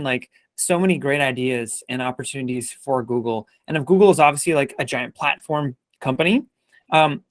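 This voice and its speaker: Male host for a casual interview, slightly high voice, enthusiastic and informal, dry sound. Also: no echo.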